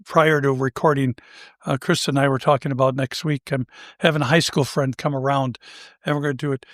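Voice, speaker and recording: An older man with a somewhat high-pitched voice, co-hosting a talk show and telling a personal anecdote in a relaxed, self-deprecating way, chuckling now and then. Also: fairly quick, dry recording, clean studio sound. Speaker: podcast co-host. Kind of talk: conversational storytelling.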